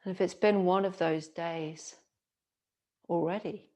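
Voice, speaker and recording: Slow-speaking woman guiding deep relaxation, low-pitched. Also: fairly even intonation. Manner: soothing, gentle, unhurried with long pauses. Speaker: yoga nidra teacher. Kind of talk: guided relaxation meditation.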